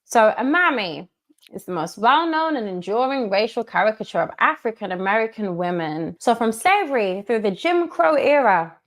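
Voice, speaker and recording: A woman's voice: fairly high pitch, expressive. Emphatic woman delivering commentary. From United Kingdom. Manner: measured, emphatic, repeats key phrases. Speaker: commentator in a played video. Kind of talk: monologue commentary.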